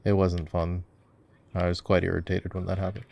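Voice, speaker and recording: A man's voice: sits low, monotone, normal speed, creaky, a little mellow. A man playing an easygoing, affectionate gamer boyfriend in a casual ASMR roleplay, rambling and musing aloud as he guides his partner through a game. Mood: relaxed, instructive. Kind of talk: casual ASMR roleplay chat while gaming.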